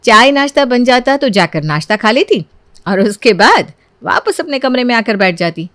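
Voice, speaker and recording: A woman's voice: a little high, sing-song, moderate pace, fairly bright tone, no echo, studio quality. A woman narrating a scripted family story as a dramatized storyteller.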